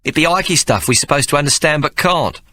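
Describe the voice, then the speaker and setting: A man voicing a scrappy small boy who talks indignantly in rough street grammar. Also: a little high, lively intonation, fast talker, sharp tone, pitched above the performer's own voice.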